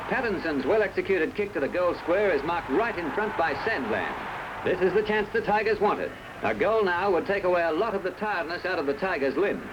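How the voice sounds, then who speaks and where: A man with an Australian accent narrating a scripted 1970s sports newsreel in formal, measured phrasing. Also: high-pitched. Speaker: newsreel commentator. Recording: dull, noisy old newsreel soundtrack.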